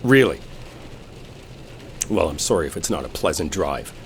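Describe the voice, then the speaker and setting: A man's voice: rumbling low, very animated, moderate pace, heavily acted. A man playing an irritated, sarcastic coworker arguing as he drives, in a roleplay audio drama. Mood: bitter exasperation. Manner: irritated, sarcastic, swearing, argumentative. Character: exasperated, sarcastic male coworker arguing while driving. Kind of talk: enemies-to-lovers roleplay audio acting. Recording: rain and car noise behind. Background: rain storm and vehicle ambience.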